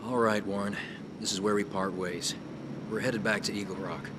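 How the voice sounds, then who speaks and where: An actor playing a resolute resistance soldier in a video game, giving terse, matter-of-fact commands to a small squad. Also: male voice, lowish voice, fairly even intonation, moderate pace, noisy background, lightly acted. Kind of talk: video game cutscene acting. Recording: very loud music behind the voice.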